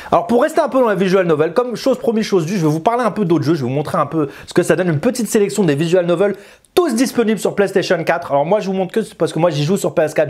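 A man in his thirties with a fairly high voice talks to camera as a game reviewer. His delivery is informal and chatty, and he repeats and corrects himself as he goes. He speaks into a lapel mic in a small indoor room. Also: a little mellow. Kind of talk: casual spoken review to camera.

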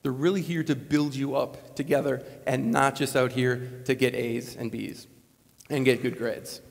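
A man's voice: mid-range voice, unhurried, creaky. Earnest young man speaking in a gym. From Wisconsin. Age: late 20s. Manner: earnest, conversational, animated gestures. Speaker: commencement speaker. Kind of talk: motivational commencement speech. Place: large school gymnasium, on stage. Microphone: lapel mic. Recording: long gym reverb.

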